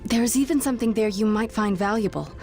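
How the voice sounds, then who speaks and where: An actress playing an earnest chief's daughter of a frontier tribe in a video game, composed and stiffly formal. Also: female voice, on the high side, fast, darkish tone, soft background music, natural voice. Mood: grateful, formal. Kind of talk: video game character dialogue.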